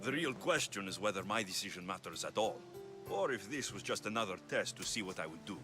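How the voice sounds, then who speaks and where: An actor playing a blunt, dryly sardonic, impatient Greek mercenary hero in a video game. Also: male voice, lowish voice, animated, a little fast. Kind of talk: video game cutscene acting. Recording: music behind the voice.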